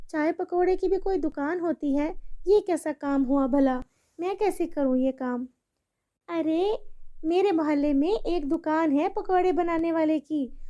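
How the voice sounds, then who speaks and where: A woman playing an earnest, polite young working woman in an animated moral story. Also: very high-pitched, muffled recording. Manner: pleading, polite.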